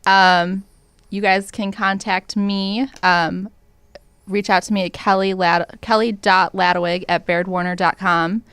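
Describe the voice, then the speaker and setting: Realtor lady guesting on a chat show, smooth voice w some fry, sounds upbeat. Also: medium pitch, flat delivery, measured pace, a bit bright, no room sound. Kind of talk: casual chat.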